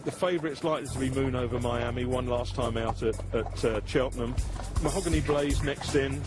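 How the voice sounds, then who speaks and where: A man previews a horse race in an informed, matter-of-fact way. His voice sits at a middling pitch. Music runs beneath his commentary. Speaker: racing pundit. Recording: music under the voice.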